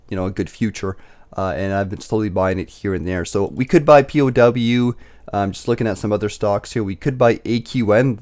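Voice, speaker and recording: Young male host, slightly raspy, rapid casual patter with frequent fillers. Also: on the low side, a little mellow. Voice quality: slightly raspy, recovering from illness. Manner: chatty, filler-heavy, self-correcting. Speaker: dividend investing channel host. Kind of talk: casual screen-share commentary.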